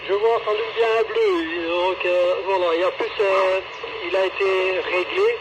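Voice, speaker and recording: A man's voice: high-pitched, steady tone, a little slow. A man chatting casually and hesitantly as a radio operator, heard through a walkie-talkie. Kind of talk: casual two-way radio chat. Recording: muffled, very noisy radio transmission.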